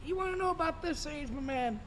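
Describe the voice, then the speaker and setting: A man's voice: very high-pitched, brisk, fairly bright tone. A man talking on a podcast in a rambling, emphatic way, swearing freely. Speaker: podcast guest. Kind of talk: casual podcast talk.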